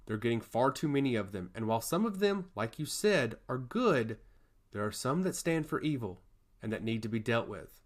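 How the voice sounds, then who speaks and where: A male storyteller plays a solemn goddess of law from a fantasy tale. She speaks formally and with measured gravity, like one who judges souls. Her delivery is earnest, with a lively, varied pitch. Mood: imploring.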